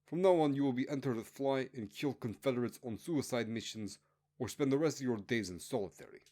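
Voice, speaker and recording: A man's voice: medium pitch, fairly quick, dark tone, voice pushed up, natural voice. Male narrator as military prison commander, barking, contemptuous, commanding, story reading. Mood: scornful, commanding.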